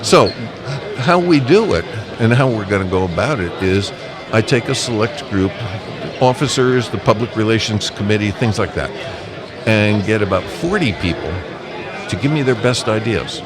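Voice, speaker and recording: Guy with a lowish voice being interviewed at a busy convention, crowd all around, explains stuff point by point, emphatic. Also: lively intonation, moderate pace. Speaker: amateur radio association representative, interview guest. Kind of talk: casual live interview talk. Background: crowd chatter.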